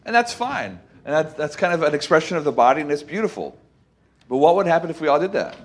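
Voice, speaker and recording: A man giving an informal, conversational sermon as a preacher, folksy and halting, repeating phrases and checking with his listeners for agreement. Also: a little high, sing-song. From Costa Rica.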